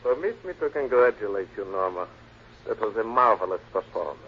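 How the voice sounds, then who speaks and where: Man playing a suave, low-voiced scheming lover. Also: expressive, brisk, muffled recording, close to an everyday voice. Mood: flattering, amorous. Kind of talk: 1940s radio drama acting. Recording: thin, noisy 1940s radio recording.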